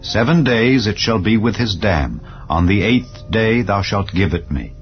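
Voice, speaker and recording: A man narrating scripture in a solemn, measured, declarative manner, as in a dramatized Bible reading. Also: lowish voice, lively intonation, highs cut off. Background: clear background music.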